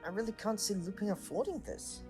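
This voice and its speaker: A woman's voice: medium pitch, animated, a little fast, over music, lightly acted. A woman playing a sceptical, level-headed thirteen-year-old schoolboy wizard in an audio drama, questioning and matter-of-fact. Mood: disbelieving. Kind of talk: fan audio drama acting.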